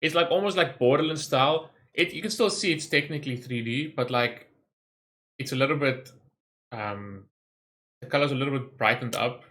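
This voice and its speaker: A man's voice: mid-range voice, normal speed, bright, forceful tone. A man hosting a gaming reaction video, talking informally and thinking aloud as he rambles through his commentary. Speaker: gaming reaction channel host.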